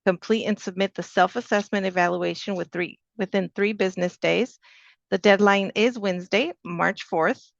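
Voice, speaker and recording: Brief: woman moderator, gravelly voice, dull dry lo-fi audio, reads instructions. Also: mid-range voice, moderate pace, no echo. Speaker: webinar moderator. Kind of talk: housekeeping announcements.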